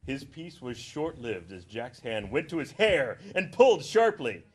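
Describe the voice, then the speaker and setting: A man's voice: mid-range voice, very animated, a little slow, breathy edge. A man doing a comedic read-aloud on a livestream, theatrical and often breaking off to comment with a chuckle. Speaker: reader on a charity livestream. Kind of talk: comedic read-aloud of fan fiction with ad-libs.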